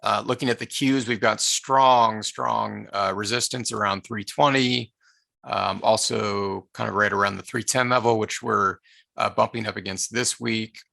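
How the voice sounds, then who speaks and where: A man with a hoarse, tired voice presenting a live online market-analysis session, speaking haltingly with many filler sounds and restarts. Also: lowish voice, fairly even intonation, sharp tone, dry recording. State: hoarse, losing his voice.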